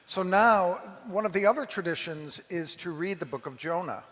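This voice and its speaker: Male rabbi, slightly high voice, halting conversational introduction to a congregation, long hall reverb. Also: fairly bright tone. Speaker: rabbi introducing a dramatic reading. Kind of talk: informal spoken introduction to a congregation. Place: large reverberant hall, amplified to an audience.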